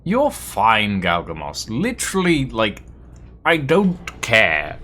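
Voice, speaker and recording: A man streaming a text adventure game, reading its text aloud slowly and dramatically, with playful, animated asides. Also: lowish voice.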